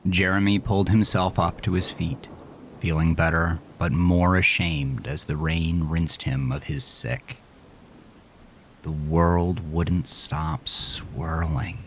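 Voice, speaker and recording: Deep low guy reading a horror story, really slow and intense. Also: slight background noise. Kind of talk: scripted horror narration.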